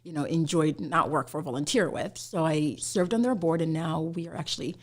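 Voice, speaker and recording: A woman's voice: sits low, a little fast. A woman from Somalia, a guest on an interview podcast, talking casually with many "you know" fillers and easy laughter. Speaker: guest, immigration attorney.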